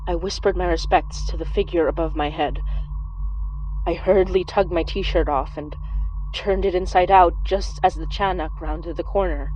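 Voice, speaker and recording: A woman's voice: lowish voice, fairly even intonation, normal speed, darkish tone, slight background noise, natural voice, low-quality recording. A woman playing a wry young woman who recounts a childhood monster chase in a horror audio drama. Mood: wry, reflective. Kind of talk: first-person horror storytelling in audio drama.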